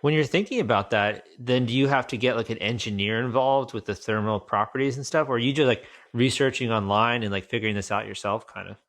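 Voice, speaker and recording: A man's voice: mid-range voice, fast, hardly any pauses, a bit bright. A man hosting a podcast, asking casual, curious interview questions. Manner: casual, curious, uses "like" a lot. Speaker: podcast host.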